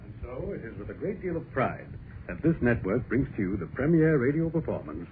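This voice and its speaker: A noisy, tinny old broadcast. An actor plays a formal, proud radio announcer. His mid-pitched voice rises and falls widely with 1930s ceremony. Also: big pitch swings, a little fast, lightly acted.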